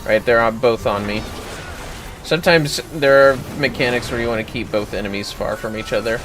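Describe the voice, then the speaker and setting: A man's voice: medium pitch, moderate pace, poor audio. A man hosting a livestream, casually commenting on a game and explaining how to play it. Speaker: livestream host, gamer. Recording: game music behind the voice. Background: game music.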